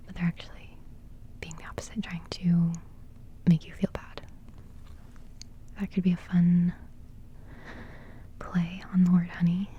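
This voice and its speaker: A young woman whispering a relaxed music reaction in ASMR style, giggling softly and rambling with plenty of fillers. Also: lowish voice, slow pace, long pauses, muffled recording. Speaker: ASMR creator reviewing music.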